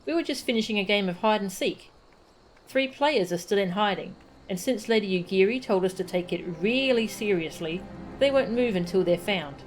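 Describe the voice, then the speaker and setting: A woman voicing an eager, curious young boy from a video game, chatty and excitable. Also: medium pitch, normal speed, slight background noise, lightly acted, poor audio.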